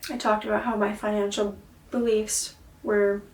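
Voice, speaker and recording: A woman's voice: mid-range voice, level tone, moderate pace. Tired woman, echoey and distant. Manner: introspective and emphatic, swears often, sighs. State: tired, sore-throated voice. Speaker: vlogger and singer. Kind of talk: rambling video diary monologue. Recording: echoey room, far from mic.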